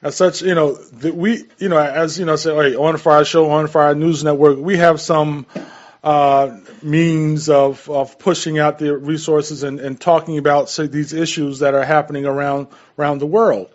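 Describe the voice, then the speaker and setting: Higher-voiced American man, lo-fi radio sound. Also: level tone, normal speed. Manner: repetitive, piecing points together in short phrases. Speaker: radio show co-host. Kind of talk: casual, earnest discussion on a radio show.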